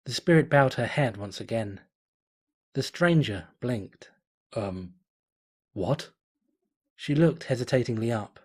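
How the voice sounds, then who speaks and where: A man reading a fan fiction story aloud in a measured, deliberate way. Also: medium pitch, animated, a little mellow, husky. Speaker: narrator. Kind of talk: fan fiction audiobook narration.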